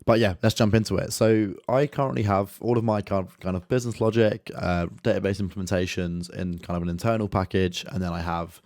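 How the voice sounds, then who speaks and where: A man with a croaky voice from a sore throat, casually walking through his code in a screen-share, loose and conversational with lots of filler words. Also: low-pitched, steady tone, a little fast, hardly any pauses, a little mellow, dry recording, studio quality.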